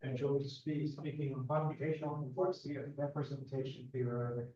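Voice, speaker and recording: A man's voice: mid-range voice, fairly even intonation, brisk, mellow. A man chairing a conference session, briefly and informally introducing the next speaker. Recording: echoey room, distant lo-fi mic.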